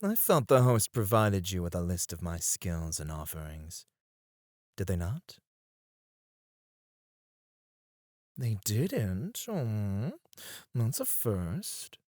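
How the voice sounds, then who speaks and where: Man playing a low-voiced, flirtatious suave escort. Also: sing-song, dry recording, clean studio sound. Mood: smooth, businesslike teasing. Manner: teasing, courteous, drawn-out phrasing with soft chuckles. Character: suave, flirtatious male club escort, smooth-mannered and teasing. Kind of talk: ASMR roleplay, addressed to one listener.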